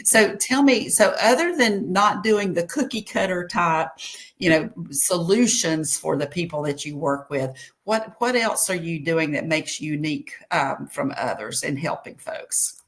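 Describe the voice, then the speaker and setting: Low-voiced chatty woman host. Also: a little slow. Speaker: podcast host. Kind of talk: interview chat.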